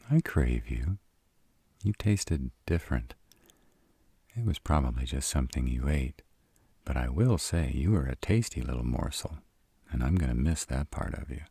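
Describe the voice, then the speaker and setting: Extremely deep dark voice, sarcastic vampire acted by a man, brooding, dry, grudging, roleplay audio. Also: creaky, slightly rough, no echo, exaggerated voice. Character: sarcastic brooding male vampire with a reluctant soft spot.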